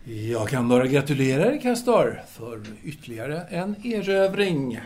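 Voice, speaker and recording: A man's voice: mid-range voice, sing-song, darkish tone, a little hiss or hum, lightly acted. A man playing a self-absorbed intellectual philosopher in an audio drama, speaking formally and hesitantly, wordy and absent-minded. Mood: detached, pedantic.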